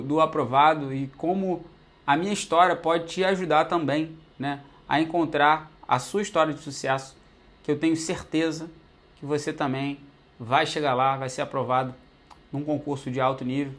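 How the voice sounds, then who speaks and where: A young man from Brazil telling his own life story to the camera in a casual, conversational way, often tagging sentences with "né". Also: on the high side. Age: young adult. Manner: conversational, many fillers like "né" and "pô", addresses viewers directly.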